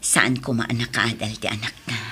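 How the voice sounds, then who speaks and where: A woman playing an ailing, remorseful wife and mother in an Ilocano radio drama, with an Ilocano accent and a plaintive, breathy, pleading delivery. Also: extremely low pitch, level tone, normal speed, background noise. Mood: remorseful, grateful.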